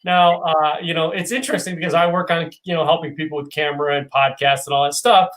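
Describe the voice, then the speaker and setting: A man's voice: a little high, fairly even intonation, fast talker, a bit bright. Rambling, joking male host. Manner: rambling, joking, many fillers. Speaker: show host. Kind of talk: casual video-call interview. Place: loft room at home.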